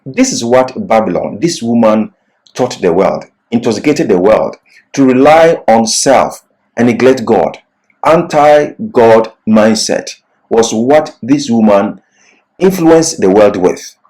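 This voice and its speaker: Man teaching scripture with lively, swinging pitch. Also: slow, rough recording. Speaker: Bible teacher. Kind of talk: expository Bible lecture.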